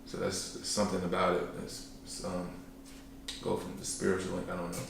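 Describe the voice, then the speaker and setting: Podcast guest, young man, deep voice, easygoing and rambling, recorded in a boomy room. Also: monotone, brisk, vocal fry, background noise. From Chicago, South Side. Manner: laid-back, rambling, joking, trails off often. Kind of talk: casual conversational interview. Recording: echoey room, mic at a distance.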